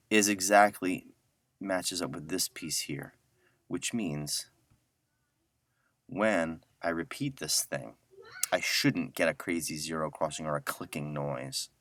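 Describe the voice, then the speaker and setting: A man with an extremely low voice walks through software in an informal screen tutorial. He takes his time, thinking aloud as he goes, and the sound is dry and close. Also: takes it slowly, long pauses, no room sound. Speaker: audio software instructor. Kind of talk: casual screen-share tutorial.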